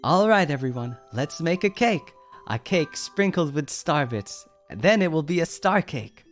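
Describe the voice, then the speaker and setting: A soft-spoken young mother of star children, as a man puts on a lifted voice. Her voice climbs high and strained when she cries for home, with music playing gently underneath. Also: lively intonation, a little slow. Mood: gentle. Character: lonely young woman raising star children, tender. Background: background music.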